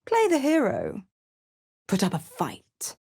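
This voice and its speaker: A seductive, scheming female devil from a video game. She takes her time, savoring each phrase with mocking, silky condescension, and her pitch swoops theatrically. The sound is close and dry. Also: huge pitch swings, slow, gravelly, a little mellow, breathy edge, dry recording, heavily acted. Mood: taunting. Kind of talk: video game character acting.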